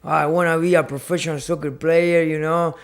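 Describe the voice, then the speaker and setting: A man in his thirties from Argentina with an Argentine Spanish accent, a boxer and podcast guest telling his story in halting second-language English full of "you know". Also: a little high, level tone. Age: 30s. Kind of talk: casual podcast interview. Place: podcast studio.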